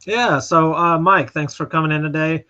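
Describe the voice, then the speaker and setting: A man's voice: on the high side, fairly even intonation, normal speed, pushed and bright. A man co-hosting a live stream, playing the interviewer in a hesitant, filler-heavy way. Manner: hesitant, with fillers and repeated words. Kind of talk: casual live-stream chat and role-play interviewing. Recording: dull lo-fi sound.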